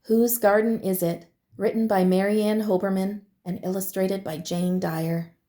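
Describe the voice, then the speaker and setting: A woman teacher reading a picture book aloud to children in a deliberate, expressive storytelling manner. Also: medium pitch.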